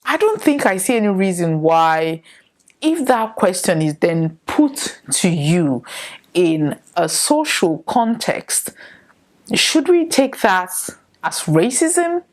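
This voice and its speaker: A woman's voice: medium pitch, big pitch swings, slow. Nigerian woman musing aloud as host. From northern Nigeria. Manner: reflective, asks many rhetorical questions. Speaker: podcast host. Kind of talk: solo opinion commentary to camera.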